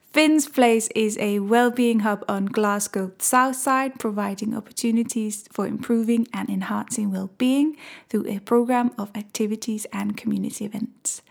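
Woman podcast host, some vocal fry, polite friendly questions. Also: mid-range voice, moderate pace. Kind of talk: podcast interview.

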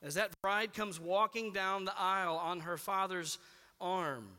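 A man preaching a sermon as a pastor, earnest and emphatic, rising for emphasis and dropping low on key words. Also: unhurried, sharp tone, smooth, big-room sound.

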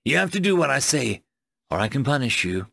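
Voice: mid-range voice, sing-song, brisk, creaky, a bit bright, no room sound, full character voice. A man voicing a hot-tempered, demanding human in an audiobook, with an insistent, snappish delivery. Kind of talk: audiobook character voice.

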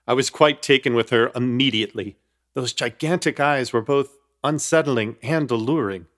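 A young man giving wry, measured first-person narration in a horror comedy radio play. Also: mid-range voice, fairly bright tone. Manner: wry, measured storytelling with nervous asides.

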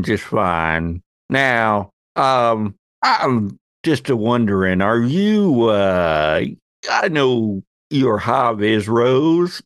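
A man voicing a suave, dapper gentleman in his sixties for a tabletop game, with a folksy, sly, hinting manner. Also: on the low side, no echo, studio quality. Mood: smooth, friendly. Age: 60s.

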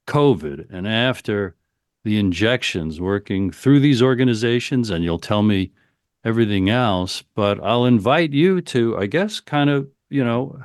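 A man's voice: medium pitch, dry recording, clean studio sound. A man hosting an interview who asks long, rambling questions and often repeats his words as he frames them. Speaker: interview host.

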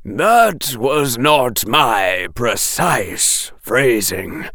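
A man performs an aloof, sardonic professor for an audiobook. Each phrase is slow and exact, with formal diction and sharp swings of pitch, recorded dry and close. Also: very animated, no echo, heavily acted. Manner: clipped, deliberate, sardonic, formal. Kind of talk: audiobook character voice.

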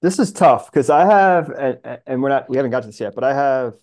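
Middle-aged American man, New Yorker, soft-edged dark tone, casual conversational delivery. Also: medium pitch, expressive, a little fast. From New York, USA. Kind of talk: casual chat.

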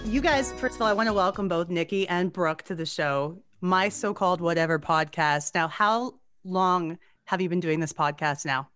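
A woman's voice: lowish voice, moderate pace, few pauses, fairly bright tone, clean tone, dry recording, studio quality, soft background music. Chatty woman host in her forties. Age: 40s. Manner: chatty and effusive, laughs often. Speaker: podcast host. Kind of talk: casual interview chat.

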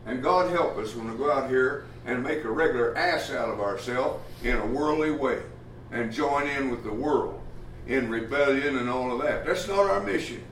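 A man preaching a sermon as pastor, plainspoken and folksy, often pausing with "uh" as he talks. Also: medium pitch, a bit bright, a little hiss or hum. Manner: plainspoken, folksy, halting with many uh fillers. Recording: echoey room from far off, faint ambience.

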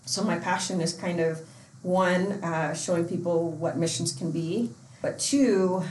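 Woman from Portland, Oregon, lower voice, earnest and halting, interview guest in a roomy space with faint background hiss. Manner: earnest, halting with many fillers and restarts. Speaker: missions trip organizer and youth sports coach. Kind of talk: casual podcast interview. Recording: echoey room with faint ambience.

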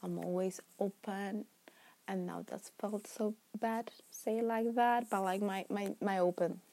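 A woman's voice: medium pitch, steady tone, a little slow, mellow. A woman in her twenties from Italy, speaking English with an Italian accent, chatting casually and rambling as she hosts her own podcast, laughing often and sometimes dropping to a whisper. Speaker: podcast host. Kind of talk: casual solo podcast chat.

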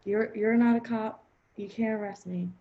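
Boastful female gangster, played by a woman in improv comedy; high-pitched, slightly lo-fi recording. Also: steady tone, moderate pace, natural voice. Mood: cagey, casual. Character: bold, boastful woman gangster, self-styled baddest in New York.

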